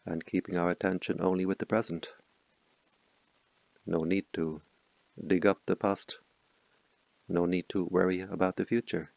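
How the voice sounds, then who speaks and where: A man with a deep voice full of gravelly fry guides a meditation. He speaks softly and slowly, leaving long pauses between short, calm instructions. The sound is close and dry, with very little room on it. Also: no echo, rough recording. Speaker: meditation teacher.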